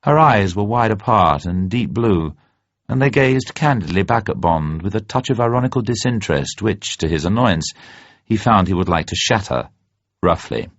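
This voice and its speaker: A man reading a novel aloud as dramatic narration, measured, literary and expressive. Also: lowish voice. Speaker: narrator, audiobook reader. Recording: dull, roomy recording.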